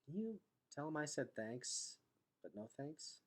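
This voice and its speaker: A man's voice: a little high, sing-song, a little slow, voice pushed up. Man voicing an anxious, blurting young boy hero. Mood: doubtful. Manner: casual, blurting questions.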